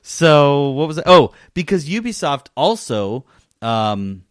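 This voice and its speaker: A man's voice: a little high, sing-song, takes it slowly, clear, resonant tone, no room sound, studio quality. A man co-hosting a gaming podcast, chatting casually in an easygoing, informal and playful way. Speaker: podcast co-host.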